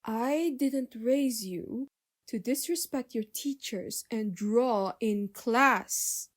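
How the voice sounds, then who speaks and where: Woman acting strict mother, high voice. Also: takes it slowly. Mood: angry, scolding. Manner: stern, admonishing. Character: strict, practical mother, disapproving and controlling. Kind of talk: scripted animated drama dialogue.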